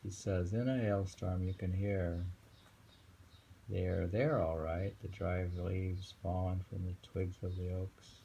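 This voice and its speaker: A man reading poems aloud and commenting on them informally in a halting, musing way. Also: sits low, fairly even intonation, creaky, dark tone, a little hiss or hum. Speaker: poetry reader and commentator.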